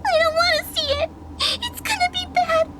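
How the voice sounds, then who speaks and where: A woman's voice: very high-pitched, moderate pace, noisy background. A woman playing a giddy, bloodthirsty, clingy winged reaper girl with childlike speech in an audio drama. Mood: fearful, whimpering. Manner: childlike, whimpering and giggling speech.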